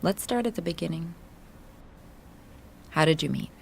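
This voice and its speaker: An actress playing a blunt, probing woman agent with a sympathetic side in a naturalistic audio drama, terse and coaxing, with a husky voice. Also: deep voice, normal speed, slight background noise. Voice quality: husky, creaky.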